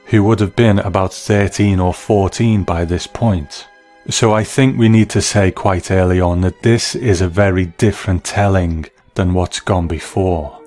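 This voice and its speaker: A man's voice: low-pitched, darkish tone. A man narrating a scripted video essay as a reviewer of film and television.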